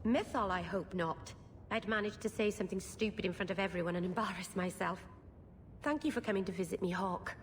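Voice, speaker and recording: A woman's voice: mid-range voice, fairly quick, natural voice. Woman acting a sweet young elf, echoing room. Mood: awkward, earnest. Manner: earnest, self-deprecating, socially awkward. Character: awkward, sweet, bookish young female elf mage. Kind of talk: video game dialogue and storytelling. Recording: reverberant room, clear background noise.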